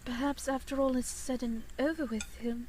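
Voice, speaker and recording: Woman as demure, high-voiced period daughter. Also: steady tone, normal speed, mellow, recorded from a distance, a little hiss or hum, close to an everyday voice. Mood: sympathetic, demure. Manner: polite, demure. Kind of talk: period audio drama acting.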